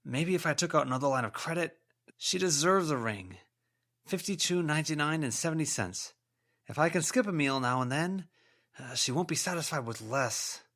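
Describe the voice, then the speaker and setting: A man's voice: on the high side, animated, normal speed, a bit bright, pitched above the performer's own voice. A man voicing a desperate ghost of a debt-ridden businessman in an audiobook, muttering obsessively and repeating himself. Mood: frantic, obsessive. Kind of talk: audiobook character voice.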